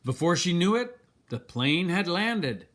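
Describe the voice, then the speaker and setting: An older man reads a picture book aloud to children at a very unhurried pace. His fairly high voice rises and falls widely, with deliberate dramatic pauses between phrases. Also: sing-song, fairly bright tone. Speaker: storybook reader.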